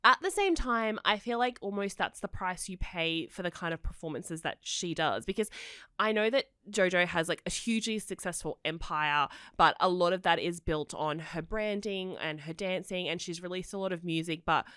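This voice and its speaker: Woman, lowish voice, chatty gossip podcast host, quick asides, dry studio mic. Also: pushed and bright, clear, resonant tone, dry recording. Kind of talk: casual gossip chat.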